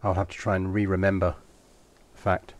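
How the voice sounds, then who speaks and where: A man with a low, deep voice talks off the cuff while playing a game. His speech wanders, full of fillers and restarted phrases, like a relaxed streamer filling time. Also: moderate pace. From Britain. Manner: rambling, many fillers and restarts. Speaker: gaming streamer. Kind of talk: casual commentary over gameplay.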